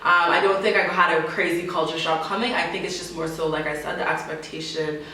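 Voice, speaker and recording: A woman's voice: lowish voice, fairly quick, recorded from a distance, slight background noise. A young woman from Canada, answering interview questions in a casual way and laughing often. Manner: laughs often, animated, chatty. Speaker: interview guest. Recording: echoey room, voice from off mic.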